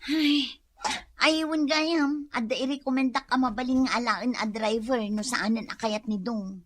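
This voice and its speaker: A woman's voice: a little high, measured pace, a bit bright, no room sound. A woman playing a proud, coy single woman in an Ilocano comedy radio drama, full of curt questions, with an Ilocano accent. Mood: baffled, coy.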